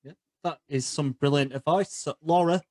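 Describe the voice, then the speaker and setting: A man's voice: fairly high pitch, moderate pace, darkish tone, no room sound, studio quality. A man hosting a casual interview, asking questions in a conversational way. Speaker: podcast host, interviewer.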